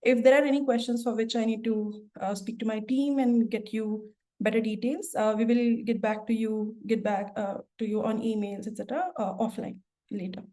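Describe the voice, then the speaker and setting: A woman's voice: fairly high pitch, fairly even intonation, moderate pace, mellow. A woman hosting a work webinar, talking with frequent fillers and restarts. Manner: hesitant, many fillers and restarts. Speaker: webinar host, product manager. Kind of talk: webinar moderation.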